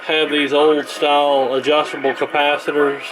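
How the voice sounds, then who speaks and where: A man's voice: on the high side, level tone, normal speed, music in the background, low-quality recording. A man giving a casual, unscripted repair demonstration in a folksy, rambling, hesitant way. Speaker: host of a vintage radio repair channel.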